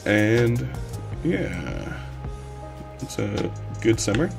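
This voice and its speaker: A man with a slightly hoarse voice, streaming a casual coding tutorial from his desk and rambling with lots of fillers. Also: lowish voice, very slow, over music. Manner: relaxed, rambling with many fillers. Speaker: livestream coding instructor.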